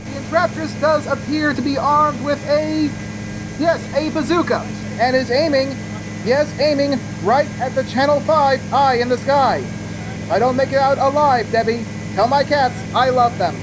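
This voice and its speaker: A woman voicing a male field reporter in a news helicopter, speaking in brisk broadcast style. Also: high-pitched, lightly acted. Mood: alarmed. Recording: noisy helicopter ambience. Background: helicopter and engine noise.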